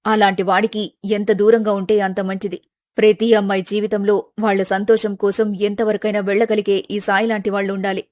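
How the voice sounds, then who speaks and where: A woman's voice: medium pitch, level tone, fairly quick, darkish tone, voice pushed down, close to an everyday voice. A woman voicing a middle-aged mother in a story, protective and loving, with an emphatic, commanding way of talking. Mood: bitter, concerned.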